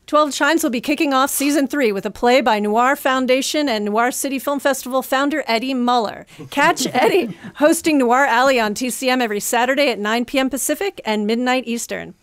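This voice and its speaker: A woman's voice: high-pitched, a little fast, few pauses, dry recording, studio quality. A woman host reading scripted closing announcements at a live show in a bookstore. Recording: noisy live recording in a bookstore.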